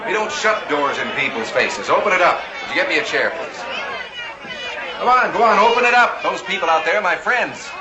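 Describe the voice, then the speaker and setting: A man's voice: high-pitched, lively intonation, a little fast, a crowd in the background. A man narrating a vintage TV drama and playing a flamboyant, genial 1860s banker. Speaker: host and actor. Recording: muffled old TV soundtrack with hiss.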